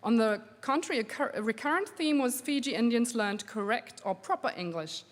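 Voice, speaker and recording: A woman researcher giving an academic conference lecture, measured and steady, with frequent um fillers. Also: a little high. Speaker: linguistics researcher giving a plenary talk. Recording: long hall reverb.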